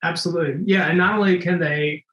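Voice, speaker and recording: A man's voice: a little high, fairly even intonation, fast talker, fairly bright tone, echoey room, muffled recording. A man in his forties, a former Navy SEAL guest, talking in a laid-back way and laughing easily. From United States. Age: 40s. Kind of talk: casual interview chat.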